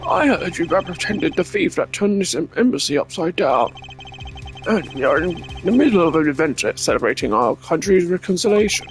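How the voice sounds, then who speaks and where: A man's voice: fairly high pitch, animated, moderate pace, slight background noise, pitched above the performer's own voice, lightly acted, low-quality recording. A man voicing a courteous but imperious older male ambassador in a video game, polite and condescending. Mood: imperious, firm.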